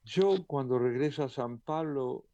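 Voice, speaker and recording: A man answers questions as a poet and translator, thoughtful and measured. He pauses now and then with small hesitant fillers. His voice is mid-pitched and sounds dry and close to the mic. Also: mellow, no echo, muffled recording. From Argentina. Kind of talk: reflective interview answers.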